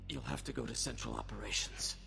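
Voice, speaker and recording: An actor playing a weary, wry alien captive in a video game, raspy and strained, with a dry, resigned manner. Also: male voice, mid-range voice, fairly even intonation, fast, over music. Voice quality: raspy, gravelly. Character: weary, wry alien prisoner, tortured and wary. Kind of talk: video game cutscene acting.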